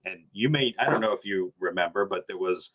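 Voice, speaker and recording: A man, a podcast guest chatting casually, animated and rambling, laughing often. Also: deep voice, brisk, rough recording. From New Hampshire. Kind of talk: casual sports chat.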